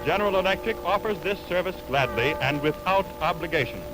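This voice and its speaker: Formal 1920s radio announcer, higher-pitched man. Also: expressive, brisk, music in the background. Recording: warped, scratchy 1920s radio aircheck.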